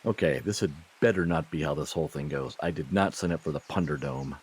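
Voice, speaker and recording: A man with a husky voice playing himself in a comedic audio drama, with a wry, sarcastic manner. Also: rumbling low, fairly quick. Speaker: podcast performer playing himself in a comedy skit.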